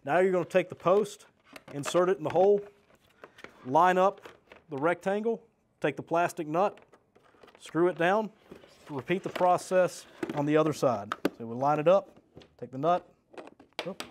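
A middle-aged man with an American accent walks through a product step by step. His voice sits a little higher than most men's. He sounds like a practical, plain-spoken sales rep giving instructions. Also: normal speed, long pauses, a little hiss or hum. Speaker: sales representative presenting a product demonstration. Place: small indoor studio set with desk and shelves.

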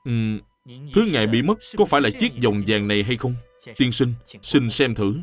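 A man's voice: mid-range voice, sing-song, moderate pace, faint music behind, natural voice. An actor playing a humble, upright young male scholar in a historical cartoon, formal, polite and earnest. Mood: apologetic. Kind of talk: animated historical cartoon dubbing.

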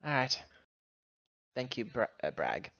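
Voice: medium pitch, very animated, a little slow, gravelly, fairly bright tone, no echo, highs cut off, voice pushed down, exaggerated voice. A player voicing a wry, argumentative woman who is acting captain of a spaceship in a tabletop game, informal and sarcastic. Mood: grudging.

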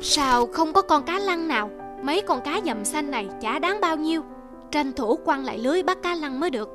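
A woman's voice: high voice, expressive, brisk. A woman voicing a poor, wishful old fisherman in a cartoon folk tale, grumbling and plaintive. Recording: music under the voice.

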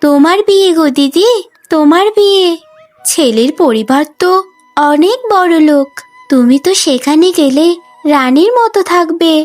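A woman's voice: high-pitched, animated, a little slow, a little mellow. A woman voicing a sweet, selfless younger daughter in a cartoon story, earnest and affectionate. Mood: teasing, excited. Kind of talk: Bengali cartoon story dubbing. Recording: music bed under the voice.

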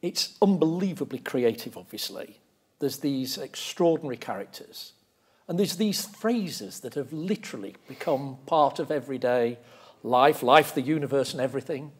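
A middle-aged man interviewing on stage in a deliberate, emphatic way. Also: medium pitch, animated, slightly rough. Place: large lecture theatre stage.

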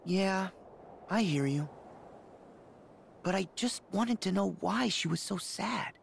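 A man voicing an earnest, stubborn teenage boy hero in a video game, plainspoken and quick to take offence. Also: sits high, expressive, vocal fry, slight background noise, heavily acted. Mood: curious. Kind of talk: video game cutscene acting.

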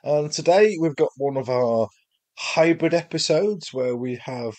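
A man with a Yorkshire accent hosting a wargaming podcast, talking in a rambling, self-deprecating way, his voice chesty and hoarse from a chest infection. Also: fairly high pitch, animated, a little slow, darkish tone. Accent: Yorkshire English. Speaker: podcast host. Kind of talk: casual solo podcast monologue.

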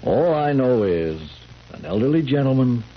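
An actor playing a skeptical, methodical sheriff in 1970s radio drama, pointed and deliberate. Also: male voice, medium pitch, sing-song. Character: skeptical, methodical male sheriff questioning a suspect. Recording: dull, noisy 1970s radio recording.

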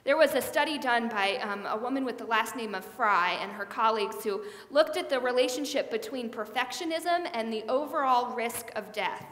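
A woman's voice: on the high side, normal speed, hardly any pauses, fairly bright tone. A middle-aged woman pastor giving a conversational sermon, gesturing as she speaks, on a headset mic in a large church sanctuary. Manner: conversational preaching with hand gestures. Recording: echoey hall with long reverb.

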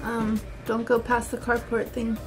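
A woman's voice: a little high, completely flat delivery, unhurried, music in the background, poor audio. A young adult woman chatting casually and affectionately to a handheld camera, in an informal vlog style. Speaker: vlogger and mother. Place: car, kitchen and backyard at home. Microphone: handheld vlog camera at arm's length.

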